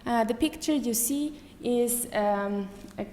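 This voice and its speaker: A woman in her thirties with a German accent, giving a live lecture to an audience, pausing often with many ums and restarts. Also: on the high side, a little mellow. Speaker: artist giving a talk. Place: lecture hall. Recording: echoey hall with faint room noise.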